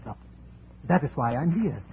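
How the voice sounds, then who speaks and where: A man's voice: medium pitch, big pitch swings, moderate pace, gravelly. A tinny old radio recording with faint noise carries a formal businessman. His delivery is polite and calculating, rising and falling expressively. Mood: smooth, formal. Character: formal European businessman, male, polite, money-minded. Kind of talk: 1950s radio detective drama acting.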